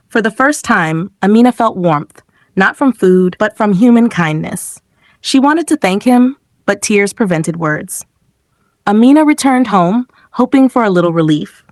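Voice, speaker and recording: A woman's voice: medium pitch, no echo. Woman narrating a story, close dry sound. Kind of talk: scripted dramatic folktale narration.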